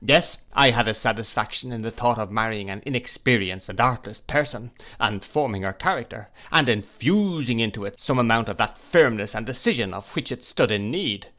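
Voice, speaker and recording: A man's voice: medium pitch, lively intonation, normal speed, few pauses, a bit bright, close to an everyday voice. A man voicing a stern, domineering middle-aged husband who speaks coldly and commandingly.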